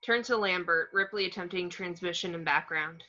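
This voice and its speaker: Woman reading stage directions, bright punchy voice, slightly muffled lo-fi mic. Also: fairly low pitch, moderate pace. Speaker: reader of the action description. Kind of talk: scripted screenplay narration. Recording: dull, lo-fi sound.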